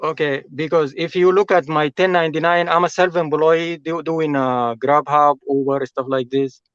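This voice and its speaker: Higher-voiced Sudanese man on muffled call. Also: fairly even intonation, moderate pace, fairly bright tone, clear, resonant tone, no echo. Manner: polite, hesitant non-native English. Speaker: caller. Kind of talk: conversational questions to an advisor.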